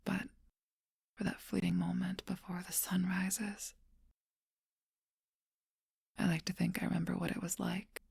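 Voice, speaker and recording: A seductive, ageless vampire voiced by a woman murmurs in a low, gravelly whisper close to the microphone. Her breath-heavy voice barely rises or falls, keeping a slow, teasing, possessive intimacy, like a storybook creature in an ASMR role-play. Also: little pitch movement, a little mellow, no echo, heavily acted. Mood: wistful.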